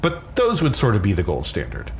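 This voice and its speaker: A man's voice: lowish voice, huge pitch swings, fairly quick, creaky, slight background noise. A man explaining science conversationally as an expert guest, candid, often repeating words as he starts a thought.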